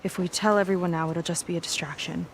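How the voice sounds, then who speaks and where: A woman's voice: lowish voice, fairly even intonation, quick pace, a little hiss or hum, lightly acted. An actress playing an earnest, guarded young seafaring woman in a video game cutscene, speaking conversationally. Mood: hopeful, urgent.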